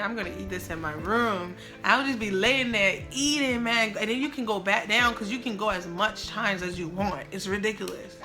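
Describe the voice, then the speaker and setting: A young woman from the Bahamas telling her own story to camera in a chatty, casual way, full of "like" and trailing asides. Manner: chatty, loose, many "like" fillers, drops to a soft low murmur at times. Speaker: vlogger.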